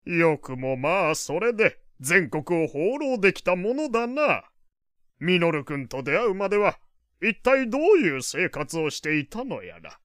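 An actor playing an opinionated, kindly old gentleman in an audio drama, lecturing in old-fashioned elderly phrasing. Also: high voice, sing-song, a little slow, dry recording, studio quality, full character voice. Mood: indignant. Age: older. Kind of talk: Japanese audio drama acting.

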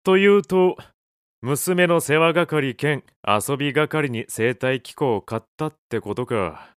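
A blunt young man of the teasing sort. His mid-pitched voice carries rough, casual phrasing and some impatience, in the manner of audio drama. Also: animated, slow pace, no echo, studio quality. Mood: dry, impatient. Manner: rough, blunt colloquial speech. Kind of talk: Japanese audio drama acting.

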